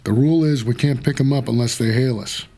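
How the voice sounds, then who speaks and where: An American actor playing a weary, guarded supernatural driver who narrates an audio drama in terse, measured noir style. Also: male voice, mid-range voice, dark tone, natural voice. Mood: brooding, measured.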